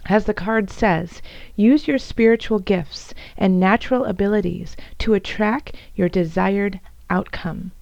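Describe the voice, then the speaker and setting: A woman with a raspy, hoarse voice from bronchitis, softly reading tarot cards for her viewers, at times almost whispering. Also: mid-range voice, unhurried, no echo, dull audio. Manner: soft, at times whispery, with fillers and restarts. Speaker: tarot reader.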